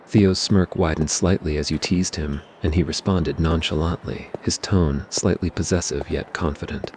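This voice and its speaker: Very deep-voiced male story narrator. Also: steady tone, normal speed, a little mellow, slight background noise, low-quality recording. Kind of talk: scripted ASMR story narration.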